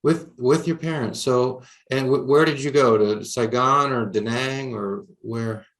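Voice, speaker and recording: Slow-spoken male interviewer. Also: mid-range voice, a bit bright, low-quality recording. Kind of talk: oral history interview.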